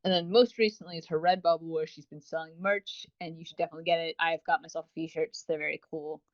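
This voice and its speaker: A woman's voice: low-pitched, fairly even intonation, fast, no echo. A woman co-hosting a casual review podcast in a wordy, tongue-in-cheek way. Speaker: podcast co-host. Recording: dull, dry lo-fi voice recording.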